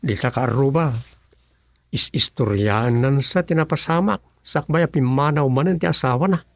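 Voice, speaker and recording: A man's voice: mid-range voice, moderate pace, creaky, dry recording, natural voice. An actor with an Ilocano accent playing a grumbling, sleepy village councilman in a radio comedy-drama. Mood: matter-of-fact.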